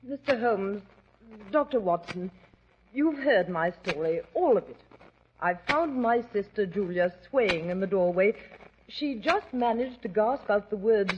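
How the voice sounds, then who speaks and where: A woman's voice: medium pitch, expressive, measured pace, lightly acted. An actress playing an anxious, polite young woman in a 1940s radio drama, with an earnest, formal manner. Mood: grave, anxious. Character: anxious, polite young Englishwoman seeking a detective's help. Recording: dull, noisy old radio recording.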